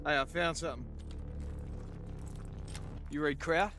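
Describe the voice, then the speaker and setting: An actor playing a cheeky, defiant young Australian private in a war game, with an irreverent manner. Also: male voice, on the high side, fairly even intonation, unhurried, noisy background, close to an everyday voice. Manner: laid-back, irreverent.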